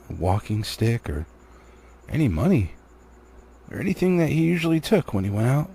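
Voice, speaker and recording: Male storybook narrator, theatrical delivery with a husky edge and very animated intonation. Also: on the low side. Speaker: amateur storybook reader. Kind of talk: expressive audiobook reading of a fantasy novel.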